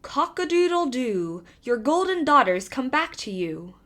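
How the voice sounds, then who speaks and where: A woman's voice: high voice, sing-song, a little slow, fairly bright tone, heavily acted. Woman putting on a crowing storybook rooster. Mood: proclaiming. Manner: crowing, proclaiming. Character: crowing farmyard rooster announcing news.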